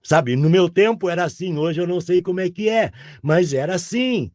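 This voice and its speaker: A man's voice: high-pitched, expressive, normal speed, a little mellow, no room sound, studio quality. A man in his sixties from Rio Grande do Sul, a guest chatting in a rambling way and often checking in with the listener. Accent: Rio Grande do Sul Portuguese. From southern Brazil. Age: 60s. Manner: rambling, keeps asking "sabe?". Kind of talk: casual interview chat.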